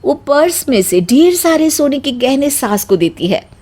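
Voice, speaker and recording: A woman narrator reading a scripted story in an expressive storytelling style. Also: sits high, unhurried.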